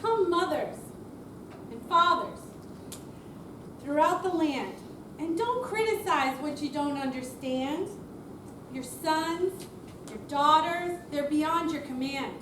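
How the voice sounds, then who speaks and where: A woman's voice: sits high, lively intonation, slow pace, long pauses. A woman declaiming verse in an amateur readers theater performance. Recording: echoey, distant, noisy live room recording.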